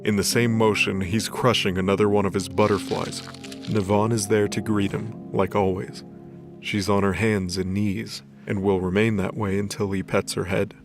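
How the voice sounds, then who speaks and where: A man's voice: on the low side, little pitch movement, moderate pace, music in the background. A man narrating a scripted dramatic story as its narrator.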